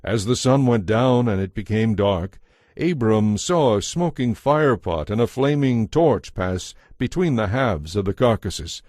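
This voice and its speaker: A man narrating scripture from a script as a solemn, measured storyteller. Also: lowish voice, lively intonation, a little mellow, no room sound, studio quality.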